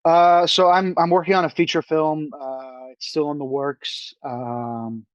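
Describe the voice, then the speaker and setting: Young man, halting, dry lo-fi sound. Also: a little high, little pitch movement, no room sound. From New York. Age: young adult. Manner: halting, many restarts and fillers. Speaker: film director guest. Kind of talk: casual interview talk.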